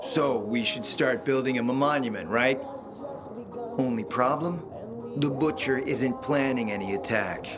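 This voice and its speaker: An actor playing a suave, scheming middle-aged spymaster in a video game, speaking in a theatrical, smooth, insinuating way. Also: male voice, medium pitch, a little slow, natural voice. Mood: conspiratorial. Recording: loud music behind the voice.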